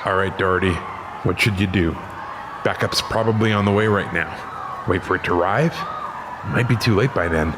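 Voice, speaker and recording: A man's voice: deep voice, a little fast, long pauses, natural voice. A man playing a blunt, sweary New York police officer with a commanding manner in an audio drama. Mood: anxious resolve. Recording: noisy street and crowd sound effects.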